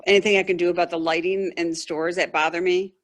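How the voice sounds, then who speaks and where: A woman speaking as a patient, describing symptoms conversationally and haltingly on a video call, searching for words. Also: lowish voice, flat delivery. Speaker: patient asking a doctor questions.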